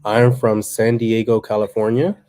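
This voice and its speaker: Laid-back man with a dark voice. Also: lowish voice, moderate pace, a little hiss or hum. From Texas. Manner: relaxed and rambling, with many fillers. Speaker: livestream co-host. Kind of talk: casual chat reading viewer comments. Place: home.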